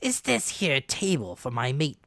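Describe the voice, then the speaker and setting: A man's voice: fairly high pitch, huge pitch swings, a little slow, creaky, a bit bright, dry recording, clean studio sound, pitched above the performer's own voice, full character voice. A man voicing a sly, menacing seafaring stranger in a storybook, with falsely friendly, insinuating rustic sailor talk. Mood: sly, wheedling.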